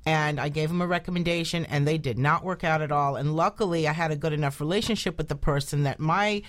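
A woman's voice: low voice, quick pace. A woman on a talk show panel, giving cautionary advice in an emphatic, plain-spoken conversational style.

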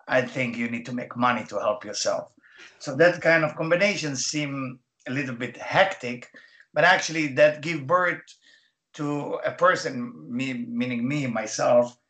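Israeli-accented man, halting and thoughtful. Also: medium pitch, a bit bright. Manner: halting non-native English with many fillers. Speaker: guest, Kabbalah teacher. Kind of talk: radio interview answers.

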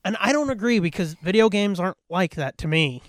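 High-voiced, blunt, sweary man. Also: expressive, a little fast, no echo. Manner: blunt, freely profane. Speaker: podcast co-host. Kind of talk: casual, opinionated film chat.

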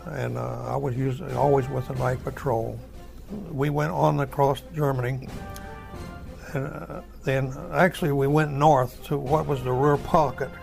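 A man's voice: medium pitch, vocal fry. An elderly American man, a war veteran, recounting his memories in a plain, folksy, matter-of-fact way. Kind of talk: oral history recollection. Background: music.

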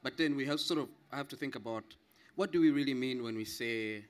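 A man's voice: medium pitch, fairly quick. A man from Zambia, explaining as a panel guest, repeating points for emphasis and often checking with "right?". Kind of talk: spontaneous explanatory discussion.

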